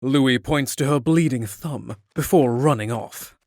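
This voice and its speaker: A man's voice: mid-range voice, a little slow, a little mellow, no echo, clean studio sound. A man reading scripted narration for a fantasy audio drama.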